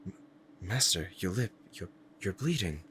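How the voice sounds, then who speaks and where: Man acting a deep-voiced, devoted demon lover. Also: big pitch swings, unhurried, a bit bright, a little hiss or hum, heavily acted. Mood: hesitant, curious. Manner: formal archaic diction, earnest and reverent. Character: powerful male demon, devoted servant in love with master. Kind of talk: romantic ASMR audio roleplay.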